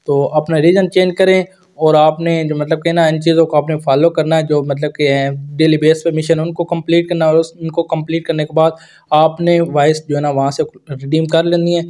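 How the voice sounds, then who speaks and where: A man's voice: fairly high pitch, darkish tone. A man with a Pakistani accent giving a casual, step-by-step gaming tutorial voice-over as a YouTuber.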